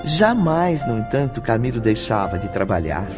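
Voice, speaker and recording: A man's voice: medium pitch, big pitch swings, normal speed, loud music behind the voice. A man with a Brazilian accent narrating a scripted radio drama in solemn, formal diction.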